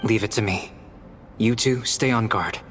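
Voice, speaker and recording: An actor playing a calm, erudite young alchemist in a video game, speaking in a measured, formal, precise way. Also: male voice, fairly low pitch. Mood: calm, focused. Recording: music behind the voice.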